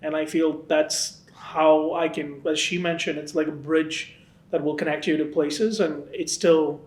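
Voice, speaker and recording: Chatty man. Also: fairly high pitch. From India. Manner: chatty, animated retelling with many "like" fillers. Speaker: interviewee. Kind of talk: casual documentary interview. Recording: roomy echo.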